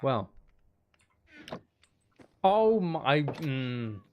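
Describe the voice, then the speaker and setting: A man giving casual gameplay commentary as a streamer, thinking out loud and chatting with viewers. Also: mid-range voice, very animated, very slow, highs cut off, a little hiss or hum. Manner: thinks out loud, sweary, banters at game characters. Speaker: game streamer.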